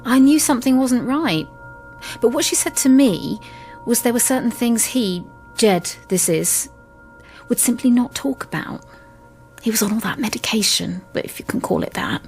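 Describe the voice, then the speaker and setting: A woman playing a candid, reflective witness in a radio drama, husky and breathy, recounting things with pointed asides. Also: fairly high pitch, expressive, moderate pace, darkish tone, soft background music. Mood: defensive, reflective. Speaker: actor in an audio drama. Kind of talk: monologue, testimony-like radio acting.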